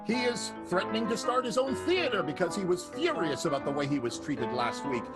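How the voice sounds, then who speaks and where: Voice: mid-range voice, very animated, a little fast, loud music behind the voice. An announcer introducing characters of an improvised radio play with wry jokes. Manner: wry, jokey.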